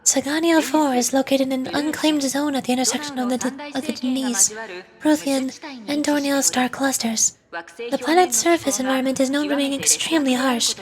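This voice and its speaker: A woman VTuber on a casual game stream, reading story text aloud a little haltingly. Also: high voice, steady tone, crowd noise.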